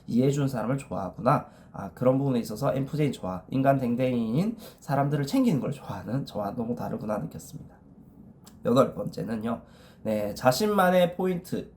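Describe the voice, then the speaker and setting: A young man with a cold talks casually through a numbered list, leaning on short filler words between points. His pitch sits in an ordinary middle range. A faint hiss runs under the voice. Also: darkish tone. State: has a cold. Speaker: MBTI video creator. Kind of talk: casual explanatory monologue.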